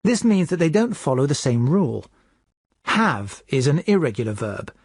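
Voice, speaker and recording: A man giving a scripted English lesson as a teacher, explaining clearly step by step. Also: fairly high pitch, very animated, normal speed, dry recording.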